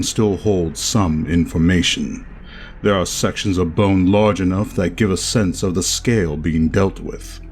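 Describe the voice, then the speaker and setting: Man playing a deep, slow, detached masked doctor. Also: slight background noise. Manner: formal, measured, emotionless phrasing. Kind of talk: horror audio drama acting.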